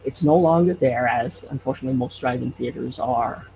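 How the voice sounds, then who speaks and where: A man from Hawaii telling stories casually as an interview guest, haltingly, with repeated false starts. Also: medium pitch, gravelly, lo-fi sound, background noise. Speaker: podcast creator and guest.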